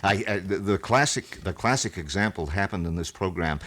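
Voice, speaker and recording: A middle-aged man talking off the cuff on local radio, explaining and telling anecdotes in a wordy, halting way that repeats phrases. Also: low voice, fairly bright tone. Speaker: local radio talk show host being interviewed. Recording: 1970s local radio broadcast.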